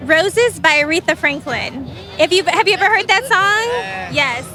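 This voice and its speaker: Chatty high-voiced woman. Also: lively intonation, measured pace, music in the background, a crowd in the background. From Chicago. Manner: enthusiastic, chatty. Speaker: interviewee. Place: outdoors at a Pride event.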